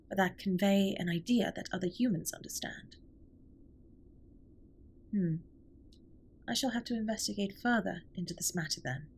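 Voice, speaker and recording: A woman playing an alien spaceship captain in a comfort audio roleplay, speaking softly in formal, precise sentences with gentle, earnest concern. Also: lowish voice, slight background noise, lightly acted. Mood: polite, puzzled. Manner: formal, stiffly precise, measured wording.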